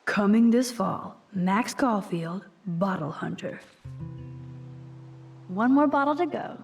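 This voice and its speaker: A young American woman playing an introspective, gentle teenage girl student in a video game, musing softly with wry asides. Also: medium pitch, animated, slow. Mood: playfully mock-dramatic. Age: young adult. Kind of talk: video game voice acting, inner monologue. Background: background music.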